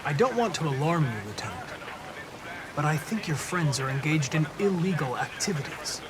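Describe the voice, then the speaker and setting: A man's voice: on the high side, very animated, moderate pace, background noise. A man playing a polite young android detective in a video game, speaking formally and precisely in an earnest, analytical way. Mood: earnest, composed.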